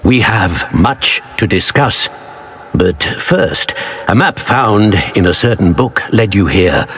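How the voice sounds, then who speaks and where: A man playing a grave old wizard professor in a video game, speaking in a formal, measured manner. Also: fairly low pitch, very animated, fairly bright tone, a little hiss or hum, exaggerated voice. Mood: solemn. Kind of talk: video game character acting.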